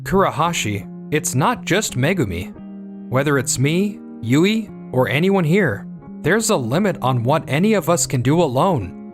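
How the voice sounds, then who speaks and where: A man playing a fair-minded, encouraging office team leader in a manga dub, speaking in a measured, reassuring way. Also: on the high side. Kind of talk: anime-style manga dub dialogue. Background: background music.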